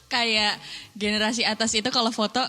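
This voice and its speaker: A woman's voice: fairly high pitch, level tone, normal speed, pushed and bright. Young woman hosting a podcast, giggly and playful, faint music in the background. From Indonesia. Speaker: podcast host. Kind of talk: casual chat.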